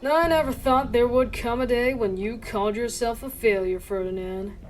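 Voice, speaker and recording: A woman playing a skeptical, hard-nosed army colonel in a dramatic fan dub, deliberate and condescending. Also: a little high, lightly acted, low-quality recording. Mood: skeptical, disdainful. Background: background music.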